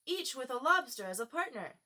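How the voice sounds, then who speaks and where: A woman voicing a brash, bossy gryphon in a loud, emphatic storybook manner. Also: sits high, very animated, brisk, voice pushed up, exaggerated voice. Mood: eager. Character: brash, excitable gryphon, bossy companion creature.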